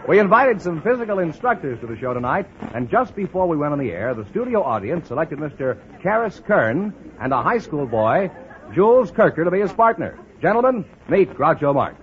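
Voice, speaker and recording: A dull old radio recording with faint noise carries an American male announcer. His voice is high and swings in a lively way as he announces a quiz show. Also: fairly quick.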